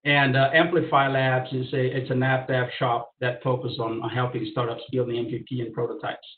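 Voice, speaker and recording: A man's voice: mid-range voice, level tone, moderate pace. A man from Brazil with a Brazilian Portuguese accent, introducing his startup on a virtual panel in a friendly, informal way. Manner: friendly, informal, with fillers and restarts. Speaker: startup founder. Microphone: video call. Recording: phone-like, roomy, far from mic.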